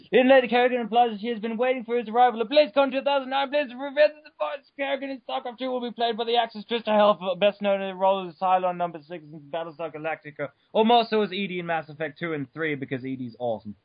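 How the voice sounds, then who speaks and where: A man's voice: sits high, dry recording, dull audio. A man giving a mock-dramatic reading of an article, theatrical and stumbling over names with blah blah. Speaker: reader.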